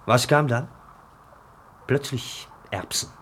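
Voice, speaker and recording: An actor in a German radio play as an obsessed, restless dreamer, talking in theatrical fragments. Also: male voice, medium pitch, slow, fairly bright tone, slight background noise. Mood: rapt, bitter. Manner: theatrical, fragmented, brooding delivery. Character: obsessed, restless dreamer retelling a circus story.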